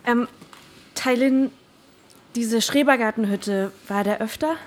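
A woman's voice: on the high side, slow pace. An actress playing a worried, determined 17-year-old girl in a naturalistic radio drama, speaking informal youth slang. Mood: hesitant, probing. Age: teenager. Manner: informal youth slang, hesitant when asking.

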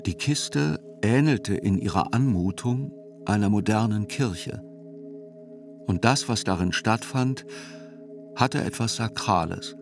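A man reading scripted literary narration for a radio play in a deliberate, sober manner. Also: lowish voice, vocal fry. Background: faint music.

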